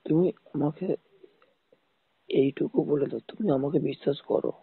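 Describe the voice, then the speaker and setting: A man with a rough, husky voice arguing insistently and repetitively in an intimate phone call. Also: on the high side, moderate pace, no echo. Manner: insistent, repeats demands. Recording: muffled tinny phone call recording.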